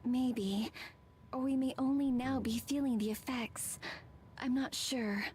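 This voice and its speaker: A woman voicing a gentle, protective, anxious young woman of the forest in a visual novel, speaking earnestly and with soft hesitation. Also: high-pitched, fairly even intonation, unhurried, slight background noise. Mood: worried.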